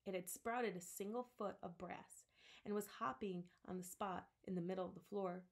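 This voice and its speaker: A woman reading a storybook aloud with expressive, dramatic storytelling delivery. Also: medium pitch.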